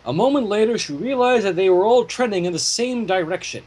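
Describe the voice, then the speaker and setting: A man reading fan fiction aloud in an expressive way, with casual asides. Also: high voice, a little fast. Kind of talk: story narration with casual commentary. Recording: home microphone with clear noise.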